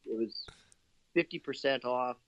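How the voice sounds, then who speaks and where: A man's voice: mid-range voice, measured pace, no echo, dull audio. A man from Canada, a guest in a casual interview, upbeat and chatty with plenty of fillers and laughter.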